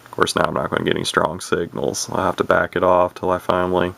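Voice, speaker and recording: A man's voice: deep voice, flat delivery, fast talker, vocal fry. A plain-spoken, folksy man giving a rambling, casual, unscripted demonstration of equipment as a hobbyist. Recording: very noisy, radio static. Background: CB radio receiver noise.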